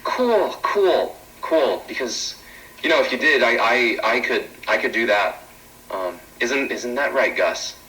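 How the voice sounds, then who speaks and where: A man's voice: medium pitch, expressive, a little slow, sharp tone, distant mic, a little hiss or hum, rough recording. A man playing an eager, awkward defense technician in a space drama, nervous and stammering, a little off mic. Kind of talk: analog horror space audio drama.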